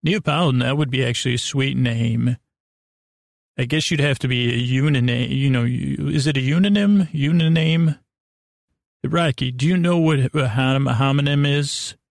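A man hosting a podcast with a silly improvised monologue to an imaginary guest, halting and stammering as he meanders and restarts in mock earnest. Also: mid-range voice, fairly even intonation, gravelly, dry recording, studio quality. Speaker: podcast host.

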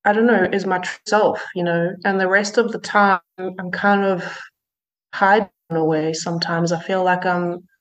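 A woman's voice: fairly low pitch, fairly even intonation, moderate pace, poor audio. Hesitant woman musician talking. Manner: hesitant, many fillers and restarts. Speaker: musician guest. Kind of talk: casual interview.

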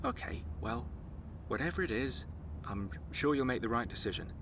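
A man's voice: mid-range voice, animated, moderate pace, noisy background, close to an everyday voice. An actor playing a nervous, rambling young man on a football club's staff, stammering and apologetic. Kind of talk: audio drama acting.

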